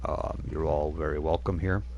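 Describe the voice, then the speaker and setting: A man's voice: a bass voice, steady tone, normal speed, highs cut off, noisy background. A man voicing an old weathered shaman. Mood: welcoming. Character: old weathered male shaman, welcoming elder.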